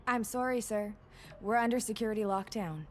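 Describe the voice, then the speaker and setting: A woman's voice: mid-range voice, moderate pace, lightly acted. Here is a woman playing a polite, official clerk in a video game. She is formal and apologetic yet firm, with faint ambient noise around her.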